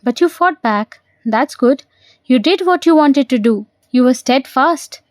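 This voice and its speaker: Woman playing an earnest, polite young man, slow-paced. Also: high-pitched, animated, dry recording, clean studio sound. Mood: earnest, modest. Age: young adult. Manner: earnest, deferential, questioning. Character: polite, curious young man, a prospective son-in-law. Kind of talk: audio play reading.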